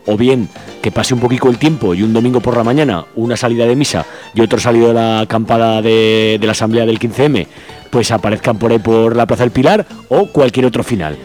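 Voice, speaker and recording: A man hosts a radio show in a casual, colloquial style. His voice sits at a middle pitch, with faint music behind it. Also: fairly quick, darkish tone. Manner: informal, colloquial. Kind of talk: casual announcement to listeners.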